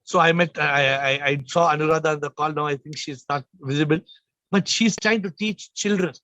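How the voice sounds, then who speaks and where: A man hosting a spiritual video call, talking with a slightly low, subdued voice, emphatic and repeating phrases as he questions listeners. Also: fairly quick, pushed and bright, dry recording. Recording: muffled video call audio.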